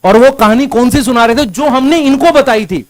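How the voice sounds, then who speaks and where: इस्तीफ़ा दे चुका एक शिक्षक अपने पूर्व बॉस से सीधे और गुस्से में शिकायत करता है, एक ही बात बार-बार दोहराता है।. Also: male voice, very high voice, normal speed, no echo. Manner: confrontational, repeats himself insistently. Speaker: teacher who resigned from an ed-tech company. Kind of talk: heated complaint addressed to a former boss.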